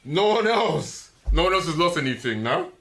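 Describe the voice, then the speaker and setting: A man's voice: a little high, very animated, normal speed, fairly bright tone. A man debating films casually, bluntly contradicting the others. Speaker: film review host.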